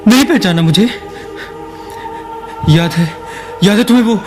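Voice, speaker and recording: High-pitched, stammering, tormented lost soul. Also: male voice, a little slow, noisy background. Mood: anguished, bewildered. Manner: stammering, repeats himself pleadingly. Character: ragged lost man, tormented living corpse seeking his identity. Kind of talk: theatrical Hindi radio drama acting.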